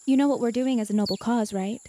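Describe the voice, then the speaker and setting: A woman playing a tabletop game in character, speaking in a probing, measured way. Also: fairly high pitch, mellow, a little hiss or hum. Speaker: player.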